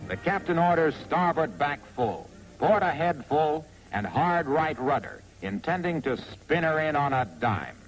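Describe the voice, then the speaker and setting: Lively, higher-pitched American 1940s film narrator, man. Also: measured pace, few pauses, faint music behind, rough recording. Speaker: narrator of a military training film. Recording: vintage 1940s film soundtrack.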